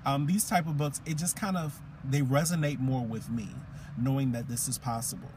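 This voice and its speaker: A man's voice: mid-range voice, steady tone, moderate pace, a little mellow. Male vlogger, 30s, mid-range voice, informal and reflective, recorded in a car with noticeable background noise. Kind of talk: casual car chat to camera. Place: inside a parked car, windows open.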